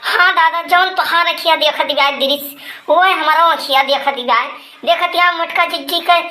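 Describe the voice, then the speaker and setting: A woman's voice: extremely high pitch, moderate pace, sharp tone, roomy sound. A woman playing a sassy, pouty village gossip in a comic Awadhi cartoon, teasing and complaining in rustic chatter. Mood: gossipy, indignant.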